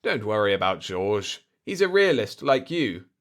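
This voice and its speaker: A man's voice: fairly low pitch, very animated, measured pace. A man voicing a cool, wry diplomat hero in an audiobook story, with a dry, sardonic manner. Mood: cool, calculating.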